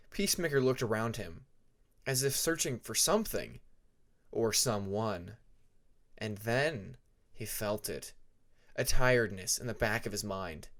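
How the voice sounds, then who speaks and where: A man narrating scripted fiction as an audiobook reader, deliberate and with dramatic pauses. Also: on the low side.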